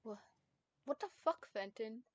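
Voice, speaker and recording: A woman's voice: a little high, lively intonation, dry recording. A woman reading as a desperate teenage boy. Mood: shocked. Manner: pleading, rushed outbursts. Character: frightened, desperate teenage boy who is never believed. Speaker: streamer reading a fanfic aloud. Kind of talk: dramatic fanfic reading.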